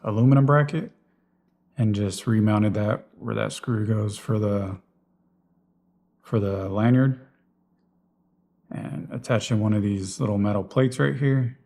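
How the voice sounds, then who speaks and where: A man's voice: on the low side, unhurried, vocal fry, long pauses, warm tone. A man giving a casual, unscripted walkthrough of his gear in a plain, explanatory way, like a vlogger. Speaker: vlogger presenting personal radio gear.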